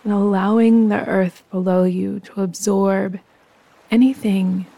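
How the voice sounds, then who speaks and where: A woman guiding a yoga nidra meditation in a soft, breathy voice, calm, soothing and unhurried. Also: mid-range voice. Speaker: meditation guide and host.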